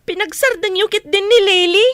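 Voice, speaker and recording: An actress playing a burdened, reproachful eldest sister in a radio drama. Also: female voice, very high-pitched, normal speed, a bit bright, no echo. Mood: scolding.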